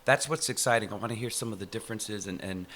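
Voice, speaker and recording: A man's voice: fairly low pitch, quick pace, a bit bright, a little hiss or hum. A man hosting a podcast interview, enthusiastic and curious, asking rambling questions. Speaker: podcast host.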